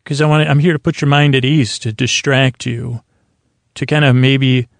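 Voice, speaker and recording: A man with a creaky voice hosting a sleep podcast, talking in a meandering, halting, whimsical monologue that circles and over-describes. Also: mid-range voice, fairly even intonation, no room sound. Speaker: podcast host. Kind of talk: rambling bedtime monologue to help listeners sleep.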